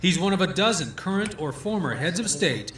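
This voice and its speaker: A man's voice: high voice, very animated, a little fast, fairly bright tone, echoey room. An American man narrating a scripted news package as a network reporter.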